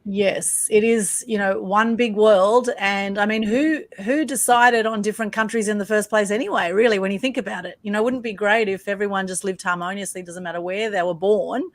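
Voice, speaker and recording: A woman hosts an interview in a conversational, effusive and affirming manner. Her voice sits at an average pitch and the sound is dry, with very little room. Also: fairly even intonation, a little fast, few pauses, no echo. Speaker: interviewer, show host.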